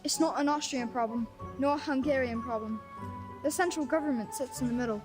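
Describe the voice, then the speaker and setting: A woman's voice: sits high, fairly quick, natural voice. A woman voicing a talkative, street-smart kid in a game, with a brash, mocking manner. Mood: sly. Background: game music.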